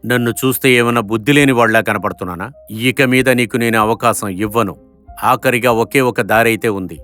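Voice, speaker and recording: A man voicing a lecherous, threatening middle-aged moneylender villain in an animated story, gloating as he talks. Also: mid-range voice, brisk, clean tone, soft background music, lightly acted. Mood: menacing. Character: lecherous, menacing 47-year-old male moneylender villain.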